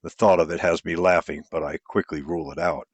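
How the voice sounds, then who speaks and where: Male audiobook narrator, lowish voice, first-person romance storytelling, close dry recording. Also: steady tone, fairly quick, breathy edge, dry recording, lo-fi sound.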